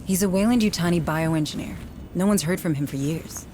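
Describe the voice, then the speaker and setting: An actress playing a weary, duty-bound colony administrator in a game, direct and authoritative. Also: female voice, lowish voice, a little fast, noisy background, natural voice. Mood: stern, probing. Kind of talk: cinematic game voice acting.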